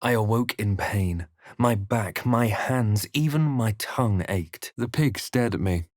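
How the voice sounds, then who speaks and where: Male actor as composed adventurer, low pitch, dry close sound, deliberate game narration, brooding. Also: dry recording, natural voice. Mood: grave. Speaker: player character voice. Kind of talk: scripted game narration and character lines.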